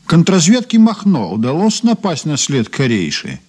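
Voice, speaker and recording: A man's voice: on the high side, wildly swooping pitch, normal speed, a little mellow, husky, exaggerated voice. A male actor playing a sober criminal investigator in a Soviet radio drama, restrained, formal and matter-of-fact. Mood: probing, terse. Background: music.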